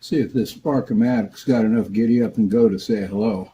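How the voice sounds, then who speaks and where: A man casually reviewing and testing a vintage radio in his workshop, relaxed and peppered with filler words. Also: fairly low pitch, a little fast, a little hiss or hum, low-quality recording.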